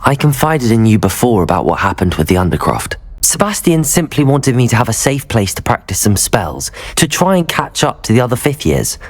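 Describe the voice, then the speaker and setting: An actor playing a curious, determined teenage wizard student in a video game, calm and persuasive. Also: male voice, mid-range voice, a little fast. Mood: earnest, persuasive. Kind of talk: dramatic video game voice acting.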